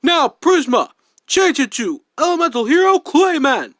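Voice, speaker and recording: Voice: sits high, sing-song, unhurried, fairly bright tone, no echo, clean studio sound. An amateur voice actor declaiming card game duel lines in a theatrical anime fan-dub style.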